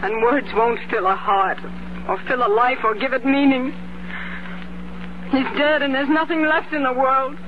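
An actress playing a minister's grief-stricken young daughter in an earnest, dramatic 1950s radio drama style. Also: female voice, sits high, steady tone, moderate pace, pauses often, noisy background, natural voice. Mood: despairing. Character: grieving, disillusioned young woman, a minister's daughter. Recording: muffled 1950s radio broadcast, loud music.

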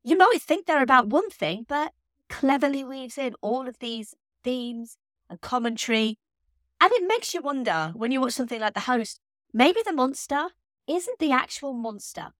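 High-voiced woman hosting a podcast. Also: fairly bright tone, no room sound, studio quality. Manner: chatty and enthusiastic. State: recovering from laryngitis, slightly hoarse. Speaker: podcast host. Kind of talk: solo podcast monologue.

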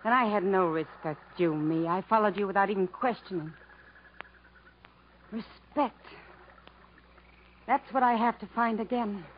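A woman's voice: medium pitch, a little slow, pauses often, lightly acted. An actress playing a wistful, wounded woman who yearns for self-respect, with plaintive, searching phrasing in 1950s radio drama style. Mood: wounded, resigned. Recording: muffled, noisy 1950s radio recording.

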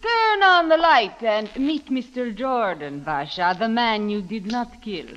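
An actress playing a haughty, vengeful young villainess with a deliberate, menacing delivery in an old radio drama. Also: female voice, on the high side, expressive, a bit bright. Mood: cool menace. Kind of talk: 1940s-50s radio drama acting. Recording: muffled old radio recording, reverberant.

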